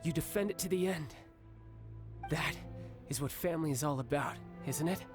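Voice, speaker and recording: A man's voice: on the high side, moderate pace, close to an everyday voice. An actor playing a suave, composed young man, a playful and protective big brother in a video game. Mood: solemn. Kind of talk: dramatic game voice acting. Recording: music behind the voice.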